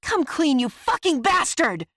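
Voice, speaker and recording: A woman voicing a vengeful, righteous magic wielder who accuses forcefully in a fantasy audiobook. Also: on the low side, expressive, moderate pace, no echo, studio quality. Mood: enraged.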